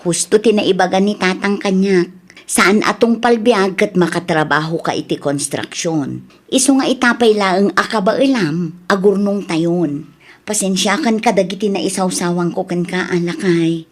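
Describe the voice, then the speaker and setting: A woman acts as a sharp-tongued village wife in a Filipino radio comedy. Her voice sits at a middling female pitch, with a Filipino accent and theatrical delivery. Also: moderate pace, natural voice. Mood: conciliatory. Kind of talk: Ilocano radio comedy-drama acting.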